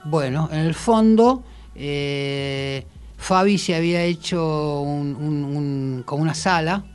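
Higher-voiced, halting Argentine man. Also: clean tone, soft background music. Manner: halting, hesitant, repeats words. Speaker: musician, radio guest. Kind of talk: casual reminiscing in an interview. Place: radio studio.

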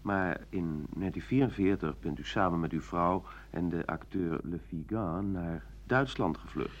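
An actor playing a matter-of-fact radio interviewer in a radio play, putting pointed questions in a measured way. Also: male voice, deep voice. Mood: probing, measured. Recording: dull lo-fi 1980 radio recording.

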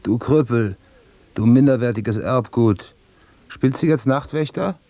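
Radio drama villain male actor: menacing bully, dark creaky voice in a dry studio, commanding and scornful. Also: medium pitch, measured pace, no echo, lightly acted. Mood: contemptuous. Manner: contemptuous, commanding. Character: cruel, menacing male bully sneering at a weaker man.

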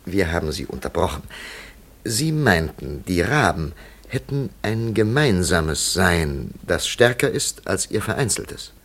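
Low, gravelly, probing rational scholar. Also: male voice, very animated, fairly bright tone, heavily acted. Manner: formal, probing, measured. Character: earnest, rational man, an inquiring skeptical scholar. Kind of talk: German radio play acting.

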